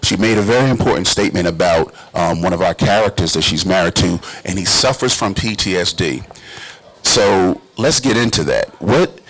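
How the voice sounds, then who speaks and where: Guy with a real deep voice hosting a casual chat show, talks emphatic and slangy. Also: expressive, normal speed, a bit bright, low-quality recording. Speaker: talk show host and stage actor. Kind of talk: casual talk show conversation.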